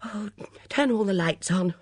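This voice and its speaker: A woman's voice: medium pitch, unhurried, slightly rough, dry recording, clean studio sound, voice pushed up. A woman voicing a weary, anxious older lady of the house, strained and fretful, in an audiobook story. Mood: weary, chilled.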